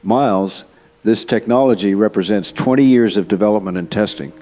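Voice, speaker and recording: A man's voice: lowish voice, fairly quick, darkish tone, natural voice. A man playing a confident, earnest scientist being interviewed in an audio drama, explaining himself in an assured, persuasive way. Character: male scientist, confident, earnest architect of AI system. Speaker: interviewed expert.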